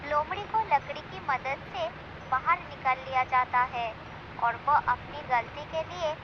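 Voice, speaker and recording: A female storyteller narrates a scripted children's fable. Her voice is high. The recording sounds dull and lo-fi, with noticeable noise in the background. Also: measured pace.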